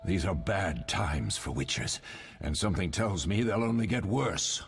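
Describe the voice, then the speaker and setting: An actor playing a weary, fatherly older warrior mentor in a fantasy game, measured and grave. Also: low voice, animated, faint music behind. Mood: grave, consoling.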